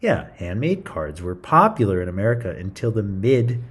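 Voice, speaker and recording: A man's voice: on the low side, big pitch swings, moderate pace, husky. A man reading a story aloud to children in a theatrical, playful style, with chatty asides. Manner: theatrical, playful, interjecting comments to young listeners.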